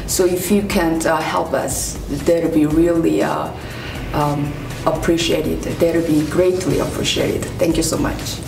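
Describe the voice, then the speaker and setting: Low gravelly woman, roomy and distant. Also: fairly even intonation. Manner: halting, many pauses and fillers. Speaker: instructor. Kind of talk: promotional interview. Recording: roomy, distant mic, music behind.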